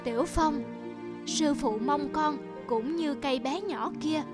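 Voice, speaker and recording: A woman voicing a wise, patient old male monk master in a cartoon, with a measured, instructive manner. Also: sits high. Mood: gently teaching. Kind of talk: cartoon voice acting. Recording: music under the voice.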